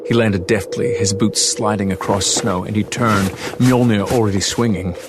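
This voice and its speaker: A man's voice: fairly low pitch, steady tone, normal speed, heavy background noise. A man giving dramatic scripted narration of an action story in an audio drama. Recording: produced audio with loud music bed. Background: loud music.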